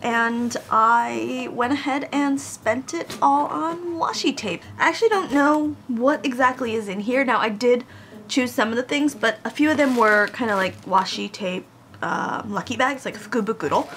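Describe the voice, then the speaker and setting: A woman recovering from a cold chats directly to her viewers while unboxing at home. Her slightly high voice carries a gravelly edge, with a faint background hiss. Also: unhurried, fairly bright tone. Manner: chatty, addresses viewers directly. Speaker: craft vlogger. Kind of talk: casual unboxing haul. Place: home room with air conditioning, in Japan.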